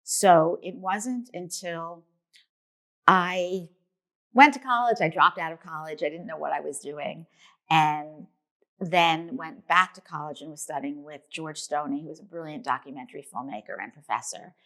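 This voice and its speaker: A woman's voice: sits low, moderate pace, fairly bright tone. A woman from New York, a documentary filmmaker, giving earnest, reflective answers in an interview.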